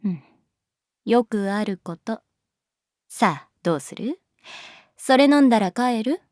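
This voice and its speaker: A woman's voice: a little high, lively intonation, takes it slowly, darkish tone, no echo, clean studio sound. A woman playing a laid-back adult schoolteacher in a Japanese voice drama, talking in a casual, nonchalant, teasing way. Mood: calm, probing. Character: wry, laid-back adult female schoolteacher, quietly indulgent. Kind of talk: Japanese voice drama acting, natural dialogue.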